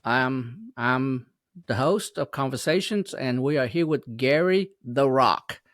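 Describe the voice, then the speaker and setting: A man's voice: medium pitch, expressive, slow pace, dry recording. Stumbling male interview host, dry sound. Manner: stumbling, with self-corrections and uneven pace. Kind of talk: casual interview.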